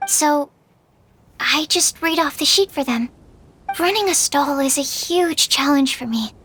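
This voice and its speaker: A woman's voice: high voice, a little slow, bright, forceful tone, slight background noise. A woman voicing a shy, polite young female alchemist in a video game, hesitant and earnest. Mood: nervous, polite. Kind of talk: video game character voice acting.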